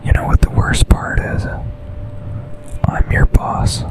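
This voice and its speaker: A man playing an intense, tender office boss and secret lover in a breathy, intimate whispered ASMR boyfriend roleplay. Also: lightly acted. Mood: intimate, confessional. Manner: slow, intimate, deliberate delivery with pauses.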